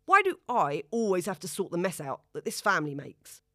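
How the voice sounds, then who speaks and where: Woman reading a bullying dad, low, loud, dry close read. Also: very animated, fairly quick, no echo. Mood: scornful. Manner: shouting, bullying, demanding. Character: irate domineering middle-aged father.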